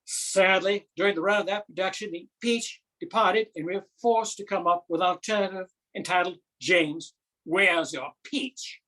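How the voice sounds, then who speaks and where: A woman performing a comic stage monologue as a self-important, earnest male drama society director, formal, with deliberate pauses. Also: on the low side, a bit bright, low-quality recording. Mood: awkward, rueful.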